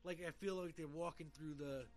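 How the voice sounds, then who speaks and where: A man's voice: fairly high pitch, steady tone, a little fast, darkish tone. A man hosting a podcast in casual, rambling banter, joking and swearing freely. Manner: jokey, profane, rambling. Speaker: podcast host.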